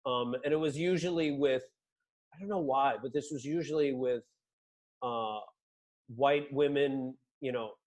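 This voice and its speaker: A man's voice: mid-range voice, measured pace. A middle-aged man with an American accent in a casual, reflective conversation as the interviewer, thinking aloud informally.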